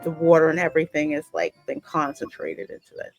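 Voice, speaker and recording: Low-voiced American woman chatting casually. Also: animated, a little fast, dry recording, soft background music. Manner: colloquial, playful. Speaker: gaming video host. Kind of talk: casual reaction chat with a co-host.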